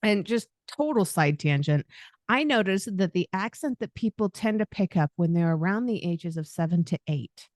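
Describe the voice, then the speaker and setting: A woman's voice: lowish voice, animated, normal speed, a little mellow, no echo. A woman hosts a podcast in a chatty, easygoing way and laughs freely. The sound is close and dry, with no room around her. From Southern United States. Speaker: podcast host. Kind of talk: podcast interview, friendly chat.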